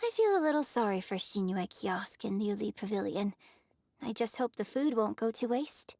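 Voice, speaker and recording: A woman's voice: medium pitch, expressive, moderate pace, darkish tone, dry recording. A woman playing a gracious, refined young opera star in a video game, speaking politely and formally. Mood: relieved, gracious.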